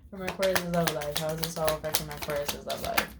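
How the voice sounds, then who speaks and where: A woman's voice: low voice, fairly even intonation, gravelly, heavy background noise. A woman giving a casual spiritual tarot reading, affirming and chatty, swearing casually and murmuring "mm" between card meanings. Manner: drawn-out words with murmured "mm". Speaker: tarot reader.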